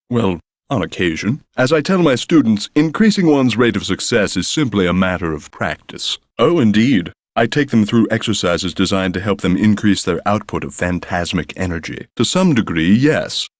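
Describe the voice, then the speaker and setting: A man's voice: on the low side, no echo, lightly acted. A man voicing a pompous male psychic school headmaster in a video game, condescending, with precise, formal wording. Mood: lofty. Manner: haughty, precise, uses long formal words. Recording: dry studio recording.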